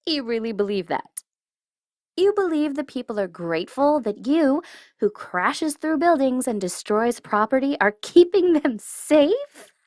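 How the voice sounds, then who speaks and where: Female supervillain , acted by a woman, ASMR roleplay. Teasing menace with a secret soft spot, deliberate slow delivery, close dry sound. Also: high-pitched, big pitch swings, dry recording, pitched above the performer's own voice. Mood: scornful, taunting. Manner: taunting, coy, commanding, with drawn-out deliberate phrasing.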